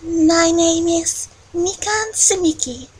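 Woman as a high, timid, hesitant teenage girl. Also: takes it slowly, low-quality recording. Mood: shy, hopeful. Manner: hesitant, soft-spoken. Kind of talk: scripted game fan dub.

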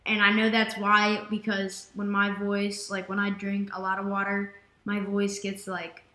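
A young woman giving casual gameplay commentary, rambling with many fillers, her voice slightly raspy from dehydration. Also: mid-range voice, unhurried, roomy sound. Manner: rambling, filler-heavy, excitable. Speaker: gaming YouTuber.

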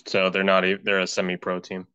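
A man with a low, bright, steady voice explains sports details casually as a co-host. His microphone is a little muffled, with keyboard clicks around the speech. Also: fairly quick, clear, resonant tone, no room sound.

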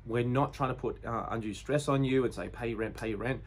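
A man's voice: mid-range voice, fairly quick, slight background noise. A man in his forties with an Australian accent, giving a plain, reassuring update to camera from outside his house, talking loosely with fillers and restarts. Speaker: real estate agency owner. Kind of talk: direct-to-camera business update. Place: outdoors beside a brick house. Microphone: phone or camera at close range.

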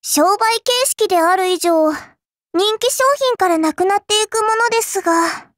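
Very high-pitched, cutesy little fairy girl. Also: unhurried, a bit bright, no room sound, clean studio sound. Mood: gently wistful. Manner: courteous, cutesy, playfully condescending. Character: petite polite fairy-like alter ego girl, sweetly mischievous shopkeeper. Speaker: game character voice actor. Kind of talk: Japanese mobile game voice lines, anime acting.

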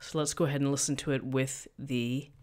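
Middle-aged woman, thin voice, calm step-by-step explaining, desk mic, tutorial host. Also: a bass voice, fairly quick. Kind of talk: screen-recorded software tutorial. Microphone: USB desk microphone.

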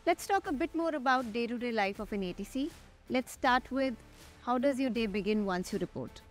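Young female pilot-host, Indian accent, slightly high voice, scripted delivery over music. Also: expressive, moderate pace. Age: young adult. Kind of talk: scripted interview hosting and presenting. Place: large glass-walled airport tower room. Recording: music under the voice. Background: background music.

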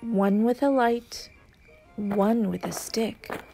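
A woman narrating a picture book aloud in a deliberate, suspenseful storytelling manner for young children. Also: a little high, vocal fry, dark tone. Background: music.

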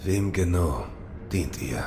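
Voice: deep voice, animated, slow pace, noisy background. An actor in an audio drama playing a grim, loyal shinobi warrior who speaks in terse, solemn phrases. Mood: terse, guarded.

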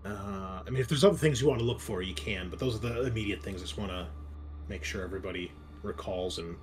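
A man narrating a tabletop roleplaying game as game master, improvising and explaining things in a halting way. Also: on the low side, faint music behind.

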